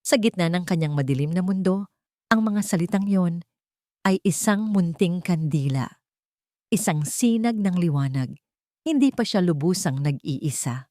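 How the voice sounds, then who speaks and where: A woman storyteller reads a scripted drama. Her voice sits low, and the sound is dry and close, with hardly any room around it. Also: animated, unhurried, mellow, no echo. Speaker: story narrator. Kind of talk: scripted dramatic narration of a Tagalog romance story.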